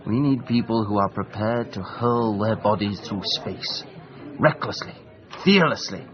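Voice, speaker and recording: An actor playing a pompous, grandiloquent English gentleman adventurer with an English accent, in a radio drama. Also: lowish voice, unhurried, highs cut off, crowd noise, close to an everyday voice. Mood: grandly self-important. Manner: grandiloquent, declamatory.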